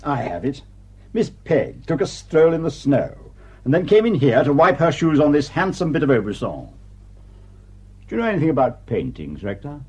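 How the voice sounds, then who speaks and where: An actor playing a shrewd, sardonic police superintendent in a radio play, dry and probing in manner. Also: male voice, a little high, big pitch swings, normal speed, darkish tone, husky.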